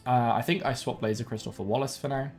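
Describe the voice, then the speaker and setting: A man's voice: medium pitch, fast talker. Sniffly young man talking through a game. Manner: thinks aloud, relaxed, self-correcting. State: sniffly, bunged up. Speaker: game streamer. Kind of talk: gameplay commentary. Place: home room with webcam. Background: faint game music.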